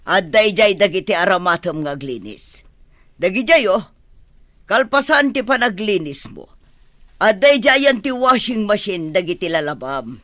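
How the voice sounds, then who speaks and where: A woman acting a bossy, exacting madam and mother in an Ilocano radio comedy drama, commanding and brisk. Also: medium pitch, animated, fairly bright tone, dry recording, clean studio sound.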